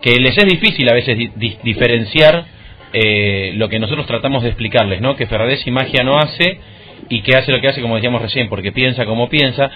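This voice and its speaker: A male radio host with a Buenos Aires accent talks conversationally at a middling pitch. The audio is muffled and lo-fi. Music plays faintly behind his voice. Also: brisk. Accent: Buenos Aires Argentine.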